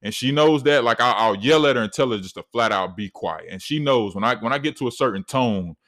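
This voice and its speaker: Deep-voiced man musing earnestly. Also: expressive, brisk, fairly bright tone. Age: 30s. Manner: earnest, rambling with many fillers. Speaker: podcast host. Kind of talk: solo podcast monologue.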